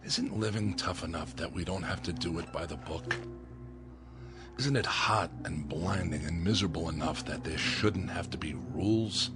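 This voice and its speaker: A man's voice: low-pitched, moderate pace, over loud music, natural voice. An actor playing a defiant, bitter young man of a space colony in a radio drama, speaking in an impassioned, declamatory way. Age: young adult.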